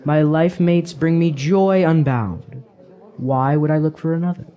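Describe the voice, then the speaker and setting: A man's voice: fairly high pitch, unhurried, highs cut off, lightly acted. A man playing a carefree young hedonist in a fantasy radio drama, wry and flowery in his phrasing. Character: carefree young hedonist drinker, teasing and philosophical.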